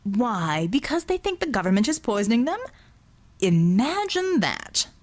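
A smug male villain from an audiobook, acted out by a woman. He sounds bored and cruelly mocking, tossing out sardonic, mock-genteel remarks with a lively, swinging pitch. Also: unhurried.

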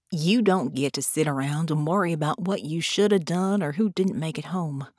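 A woman's voice: on the low side, darkish tone, no room sound, studio quality. A woman voicing a blunt, folksy male ghost, protective and consoling, in an audiobook.

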